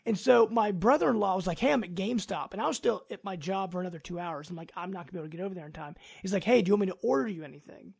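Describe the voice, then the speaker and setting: An American man hosting a casual podcast chat in a high voice with noticeable vocal fry. He comes across as upbeat and agreeable, talking in an informal, conversational way. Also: rapid-fire, hardly any pauses. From United States. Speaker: podcast host.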